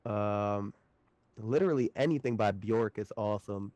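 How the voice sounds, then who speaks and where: A man's voice: fairly low pitch, normal speed, darkish tone, no echo. A man in his late twenties, chatting loosely as a podcast guest, laughing often and swearing casually. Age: late 20s.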